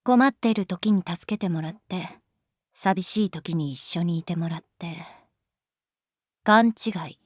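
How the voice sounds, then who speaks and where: Slow-talking, calm, guarded woman teacher. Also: on the low side, no echo, studio quality. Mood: guarded, dismissive. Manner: unhurried, soft-spoken, frank. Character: adult female homeroom teacher, calm, guarded, gently detached. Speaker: voice actress. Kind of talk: Japanese anime-style audio drama acting.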